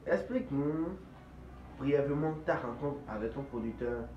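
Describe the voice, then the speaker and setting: A man's voice: medium pitch, unhurried, recorded from a distance. Male interviewer, muffled and echoey. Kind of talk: prepared interview questions. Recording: echoey, muffled, off-mic, noisy.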